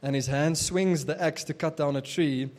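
A man's voice: a little high, fairly even intonation, moderate pace, darkish tone. A young adult man whose first language is Afrikaans, preaching a casual sermon as pastor, with many fillers and restarts.

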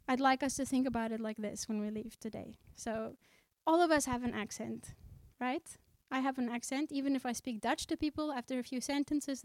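A woman from South Africa preaching a sermon in the Netherlands. She speaks earnestly and conversationally, slowing down when she reads scripture aloud. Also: on the high side, a little mellow, dry recording. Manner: conversational, with fillers and restarts.

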